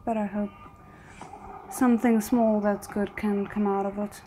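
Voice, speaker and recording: A woman's voice: mid-range voice, little pitch movement, measured pace, warm tone, rough recording. A woman hosting a reaction video, giving casual commentary and thinking aloud as she goes.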